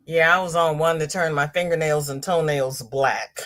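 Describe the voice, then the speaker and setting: A woman's voice: deep voice, fairly even intonation, normal speed, fairly bright tone. A middle-aged woman hosting a casual crochet livestream, reading viewer comments aloud and greeting people by name.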